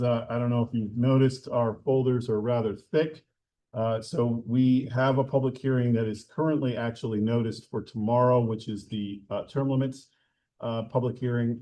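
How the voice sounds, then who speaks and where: Booming-voiced man chairing a town meeting. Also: mellow. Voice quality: big booming voice. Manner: loose and joking, frequent "uh" fillers. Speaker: presiding town board member. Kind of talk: running a public meeting. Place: town hall meeting room.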